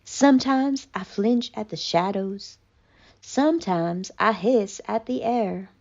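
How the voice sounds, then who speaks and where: A woman voicing a haughty, melodramatic, traumatized female cat in a pompous, mock-tragic theatrical monologue with deliberate pauses. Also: mid-range voice, a little mellow, muffled recording. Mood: brooding, haunted.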